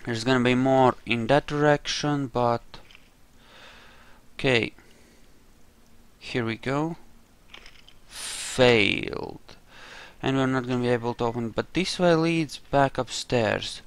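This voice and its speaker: A man with a mid-pitched voice commentates on a video game he is playing. He talks slowly, rambling through his choices as he makes them. His delivery is casual, with dry, wry humor. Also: clear, resonant tone. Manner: rambling, thinking aloud. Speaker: gamer narrating a roleplay playthrough.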